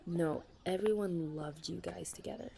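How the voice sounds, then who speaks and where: A woman's voice: on the low side, normal speed, vocal fry, mellow, a little hiss or hum. A young woman playing a chatty, dramatic best friend in a casual ASMR audio roleplay. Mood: wistful, candid. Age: young adult. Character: chatty, caffeine-loving female best friend, self-deprecating about dating.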